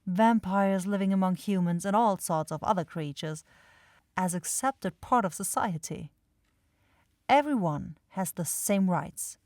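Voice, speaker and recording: Slow, soft, teasing vampire girl voiced by a woman. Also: medium pitch, no room sound, clean studio sound, natural voice. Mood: wistful, explanatory. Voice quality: soft, breathy, often slipping into whispers.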